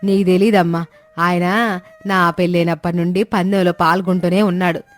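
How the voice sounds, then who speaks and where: A woman's voice: lowish voice, level tone, moderate pace, natural voice. A woman playing a fretful older village mother and wife in a family story. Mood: worried. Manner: fretful, lamenting. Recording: music bed under the voice. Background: background music.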